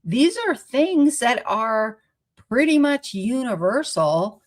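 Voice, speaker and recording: Slow-talking stuffy woman host. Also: a little high, sing-song. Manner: chatty, laughs often, restarts phrases. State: stuffy from a cold. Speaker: podcast host, play therapist. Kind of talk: casual solo podcast talk.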